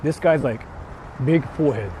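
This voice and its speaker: A man's voice: mid-range voice, moderate pace, warm tone. A man livestreaming casually, reading out viewer comments and answering them in a rambling, self-questioning, sweary way. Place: outdoors on an urban street, walking. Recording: clearly noisy outdoor city street stream.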